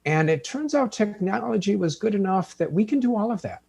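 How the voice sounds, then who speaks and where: A man speaking as a newspaper executive on a panel, thoughtful and halting, with many fillers. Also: sits high, expressive, gravelly, a little mellow, husky. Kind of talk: panel discussion.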